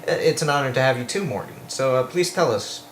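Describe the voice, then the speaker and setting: A man's voice: medium pitch, expressive, fast. Guy hosting a skit interview, casual, mid voice, echoey with a bit of noise. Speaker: host of a comedy interview skit. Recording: echoey room with faint background noise.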